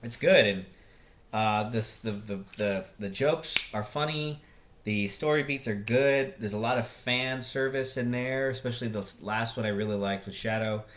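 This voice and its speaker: A man giving casual, unscripted movie commentary as a video host, often halting to search for words. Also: mid-range voice, fairly bright tone. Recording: echoey room, faint background noise.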